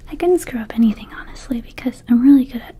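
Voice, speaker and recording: A woman voicing a friendly, scatterbrained young vampire shop clerk in a soft breathy whisper, rambling casually and hesitantly in an ASMR roleplay. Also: high-pitched, fast talker. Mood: airy, self-assured. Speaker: ASMR performer.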